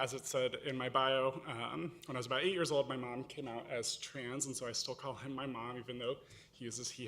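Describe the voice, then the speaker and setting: A man's voice: mid-range voice, bright, forceful tone. A man from Morocco speaking as a panelist, casually telling his life story with frequent fillers.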